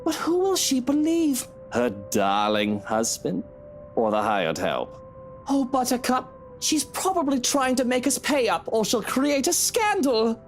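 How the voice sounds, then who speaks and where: A woman's voice: high voice, wildly swooping pitch, a little slow, faint music behind, voice pushed up, heavily acted. A woman voicing a smug, lecherous, condescending married man in a visual novel. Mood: menacing, smug. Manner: leering, taunting, condescending.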